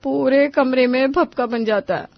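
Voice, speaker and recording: A woman's voice: high voice, measured pace, vocal fry, no echo, highs cut off. A woman voicing a frustrated, outspoken young daughter-in-law who argues and complains in a Hindi cartoon story. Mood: weary, grumbling. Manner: complaining, argumentative.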